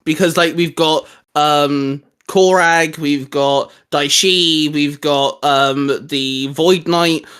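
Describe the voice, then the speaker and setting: A man's voice: fairly high pitch, steady tone, slow, few pauses, fairly bright tone, clear, resonant tone. A man co-hosting a casual, geeky group discussion, jokey and informal, often probing with questions. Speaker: co-host of a fan discussion show.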